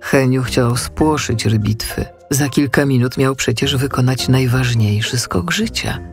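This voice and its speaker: A man's voice: mid-range voice, unhurried, faint music behind. A man narrating a scripted children's audiobook story.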